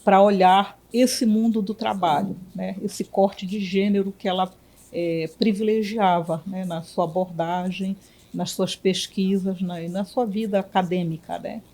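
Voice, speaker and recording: A woman from Belém, a physician and union director, answering interview questions in a reflective way with many pauses and restarts. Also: mid-range voice, fairly even intonation, mellow. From Belém, Brazil.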